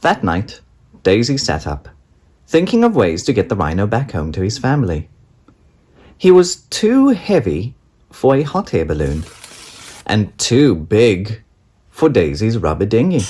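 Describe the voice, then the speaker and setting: An adult storyteller reading a picture book to children. The pace is slow and the intonation rises and falls widely, in an expressive read-aloud style. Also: medium pitch, husky. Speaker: storybook narrator.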